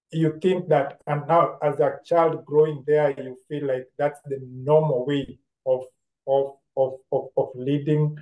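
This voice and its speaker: Kenyan man, mellow voice in echoey room. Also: fairly high pitch. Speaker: interview guest, educator. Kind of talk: reflective conversational storytelling. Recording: roomy, echoing sound.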